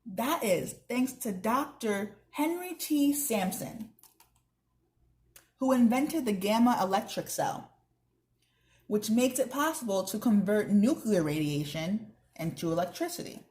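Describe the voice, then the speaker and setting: A woman's voice: mid-range voice, lively intonation, a little slow, darkish tone, close to an everyday voice. A woman voicing a wise, teasing male mentor who instructs children in a storybook with leading questions. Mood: instructive.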